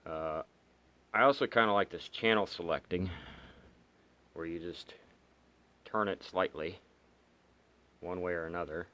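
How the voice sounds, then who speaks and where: A man's voice: sits low, sharp tone. A man giving a casual, unscripted hobbyist product demonstration, talking in a hesitant, rambling way. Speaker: hobbyist video host reviewing radios. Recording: clear background noise.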